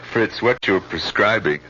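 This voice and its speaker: A confident husband in his sixties in a vintage radio drama. His voice is deep and bright-edged, with lively inflection and a brusque, assured delivery. The recording is dulled with age. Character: confident, brusque sixtyish American husband and narrator, male. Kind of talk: old-time radio drama acting and narration. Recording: slightly noisy, muffled old radio recording.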